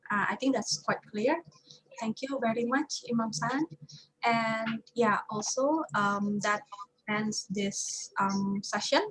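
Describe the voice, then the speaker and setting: A woman moderating a webinar, polite and halting with many fillers. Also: fairly high pitch, fairly bright tone, breathy edge, low-quality recording. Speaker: event moderator.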